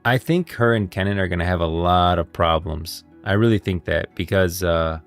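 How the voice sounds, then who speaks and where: Male streamer, low and creaky voice, enthusiastic, emphatic, off-the-cuff lore commentary. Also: normal speed. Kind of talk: casual livestream game lore commentary. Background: music.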